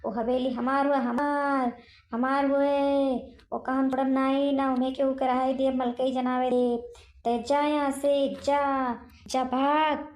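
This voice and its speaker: A woman's voice: sits high, level tone, takes it slowly, darkish tone. A woman voicing a bullying witch ghost in a cartoon comedy, threatening and taunting in an Awadhi accent. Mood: possessive, commanding. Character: bullying witch ghost claiming her haunted mansion.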